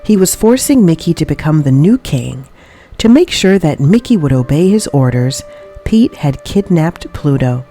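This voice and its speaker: Female children's storybook reader: low register, very varied intonation, faint music underneath. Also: very animated, moderate pace, warm tone. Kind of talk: expressive children's read-aloud narration.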